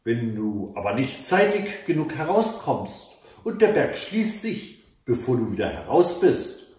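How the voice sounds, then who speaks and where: A man's voice: medium pitch, very animated, unhurried, a little mellow. A man voicing a magical captured bird in a fairy tale, giving emphatic warnings and instructions. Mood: urgent warning. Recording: echoey room, mic at a distance.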